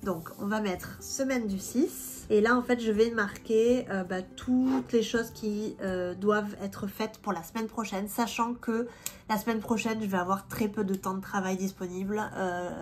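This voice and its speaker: A woman in her thirties talks casually to camera, her voice a bit stuffy from a cold. She chats on loosely, full of fillers and restarts, at an ordinary middle pitch. Soft music sits faintly behind her. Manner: chatty, many fillers and restarts. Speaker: vlogger and independent language teacher. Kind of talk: casual vlog chat.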